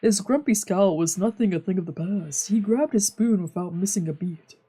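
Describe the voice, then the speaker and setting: A woman's voice: mid-range voice, moderate pace, warm tone, breathy edge. A woman streamer reading scripted visual novel narration aloud.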